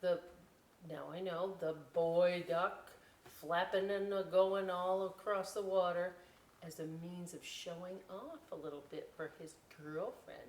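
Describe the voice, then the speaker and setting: A middle-aged woman reading a children's picture book aloud in an expressive, folksy way, pausing for chatty, simple explanations. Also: fairly low pitch, slight background noise. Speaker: library storytime host reading aloud. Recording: roomy echo, distant microphone.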